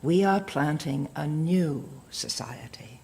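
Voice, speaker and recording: An actress playing a stern, zealous indoctrinator who lectures captive women in a deliberate sermonizing manner in an audio drama. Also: female voice, deep voice, expressive, vocal fry, darkish tone, exaggerated voice. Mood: solemn, instructing. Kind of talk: audio drama acting, preachy speech.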